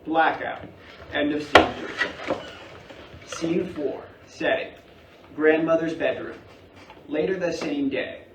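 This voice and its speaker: A man's voice: a little high, steady tone, slow, long pauses. A man giving scripted narration, reading out stage directions at a staged play reading. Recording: echoey room, distant mic, faint noise.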